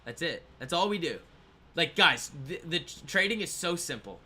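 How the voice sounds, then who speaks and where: Man in his twenties, bright voice, casual rambling livestream chat, reads comments. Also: a little high, big pitch swings, moderate pace, slightly rough. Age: 20s. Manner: rambling, laughing, talks to viewers as "guys". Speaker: trading livestream host.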